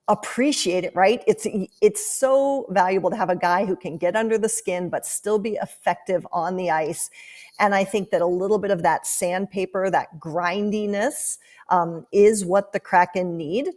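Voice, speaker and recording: A woman's voice: mid-range voice, darkish tone. A woman speaking as a guest sports analyst in a podcast interview, explaining her thinking conversationally.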